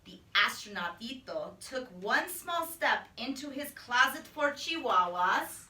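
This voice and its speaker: A woman's voice: fairly high pitch, big pitch swings, a little slow, sharp tone. A woman, a teacher, narrating a storybook in a children's read-aloud style. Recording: roomy, distant microphone.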